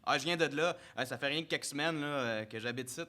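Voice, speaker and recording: A man's voice: medium pitch, fast talker, sharp tone, close to an everyday voice. An actor in a staged play reading, playing a teasing, slangy young man newly arrived from the countryside, with a Beauce accent. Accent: Beauce, Quebec.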